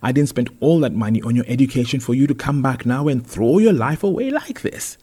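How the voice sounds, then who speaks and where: A man's voice: mid-range voice, big pitch swings, slow pace, a little mellow, slightly rough, exaggerated voice. A man voicing an exasperated wealthy father who scolds his grown son with emphatic indignation in an audiobook. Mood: exasperated, indignant.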